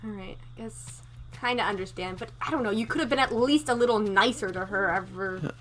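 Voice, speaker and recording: A woman playing a compassionate, halting survivor in a zombie apocalypse game roleplay. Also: mid-range voice, natural voice. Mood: upset, reproachful. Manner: hesitant, pleading, halting. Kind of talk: amateur roleplay acting in a game.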